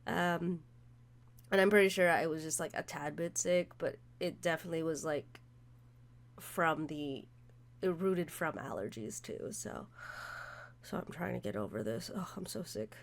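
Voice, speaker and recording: A woman's voice: fairly low pitch, measured pace, creaky. A young woman streamer, congested from allergies, chatting in a rambling way with many fillers while playing a visual novel. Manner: rambling, filler-heavy, self-interrupting. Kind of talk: casual let's-play commentary.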